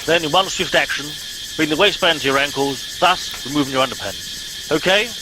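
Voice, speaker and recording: An actor playing a pompous, blustering middle-aged English starship captain in a comic radio play, giving clipped orders. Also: male voice, on the high side, moderate pace, noisy background, close to an everyday voice. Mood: commanding. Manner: blustering, officious, clipped commands.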